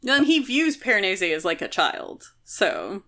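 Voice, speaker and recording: A woman with a bright, forward voice talks as a podcast co-host about fiction. She is analytical and casual, building her points at length with small asides along the way. Her pitch sits in an ordinary middle range. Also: very animated, moderate pace. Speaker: podcast co-host discussing a novel. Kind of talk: casual analytical book discussion.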